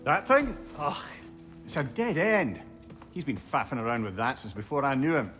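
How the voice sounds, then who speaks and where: An actor playing an old, sardonic talking head who swears freely. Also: male voice, mid-range voice, lively intonation, a bit bright, faint music behind, close to an everyday voice. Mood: wry. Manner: sardonic, swearing, quick-witted.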